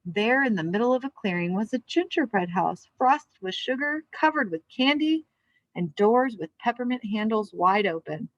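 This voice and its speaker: A woman's voice: on the high side, animated, normal speed, no room sound, highs cut off. A woman speaking as a children's teacher, reading a storybook aloud and giving simple, encouraging instructions with check-in questions.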